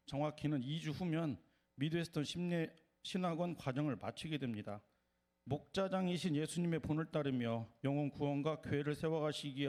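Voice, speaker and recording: A man with a mid-pitched voice giving a formal, prepared testimony as a seminary student. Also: a little mellow.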